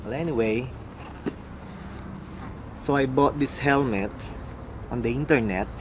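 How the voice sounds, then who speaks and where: A young man from the Philippines with a Filipino accent, talking slowly and mumbling a little as he muses aloud in a casual unboxing vlog. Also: mid-range voice, noisy background. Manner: slow, mumbling, musing aloud. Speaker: motorcycle vlogger.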